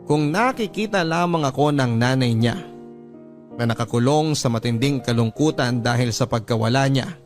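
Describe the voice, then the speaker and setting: A man voicing a middle-aged widower confiding his story in a radio drama. He has a medium-pitched voice and tells it solemnly. Music plays beneath the narration. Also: moderate pace, close to an everyday voice. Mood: sorrowful, reflective. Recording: music under the voice.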